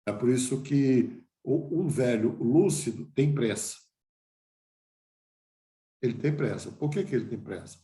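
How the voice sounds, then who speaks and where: A man's voice: mid-range voice, expressive, measured pace, long pauses, dark tone, roomy sound. An older man giving a reflective lecture to a class, asking himself questions and restarting phrases.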